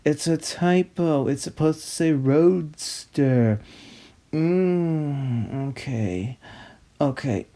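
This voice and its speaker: A man's voice: on the high side, animated, extremely slow, creaky, dark tone, a little hiss or hum, exaggerated voice. A man playing a pompous, fussy narrator who reads out a script theatrically. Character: snobby, fussy male narrator, a pompous connoisseur. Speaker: narrator host.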